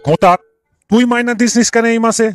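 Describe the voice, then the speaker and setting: A man's voice: extremely high pitch, fairly even intonation, moderate pace, soft background music. A man playing a cranky old schoolmaster in a comic cartoon, scolding and ranting with exasperated, theatrical rhetorical questions. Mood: irritated. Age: older.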